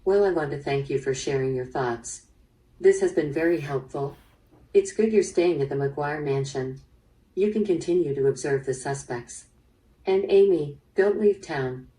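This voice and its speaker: Woman acting low-voiced, probing female police detective. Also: warm tone, lightly acted. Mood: probing, measured. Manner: measured, probing, businesslike. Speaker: voice actor in interactive audio drama. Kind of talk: interactive radio-drama acting. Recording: played through speaker, slightly distant.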